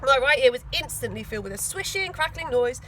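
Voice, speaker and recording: A woman's voice: high-pitched, expressive, quick pace, pushed and bright, a little hiss or hum. A woman reading a children's storybook aloud expressively, with dramatic emphasis. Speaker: school teaching assistant reading a children's book.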